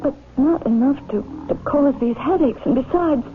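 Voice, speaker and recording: An actress playing a troubled married woman haunted by guilt, speaking hesitantly and haltingly in old-time radio drama style. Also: high-pitched, natural voice. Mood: hesitant, despairing. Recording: noisy, tinny old radio drama recording.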